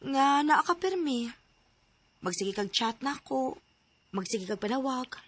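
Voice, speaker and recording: A woman's voice: high voice, animated, unhurried, breathy edge, no echo, heavily acted. An actress with a Cebuano accent playing an emotional young woman in a radio drama. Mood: soft, lovelorn. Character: emotional young woman hurt by her boyfriend.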